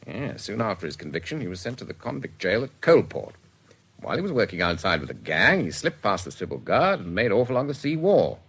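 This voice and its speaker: Brisk British records officer, jovial, very animated, husky, clipped phrases, radio play. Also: male voice, lowish voice, full character voice. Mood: matter-of-fact recounting. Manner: chatty, clipped sentences with bursts of amusement. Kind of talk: British radio drama acting.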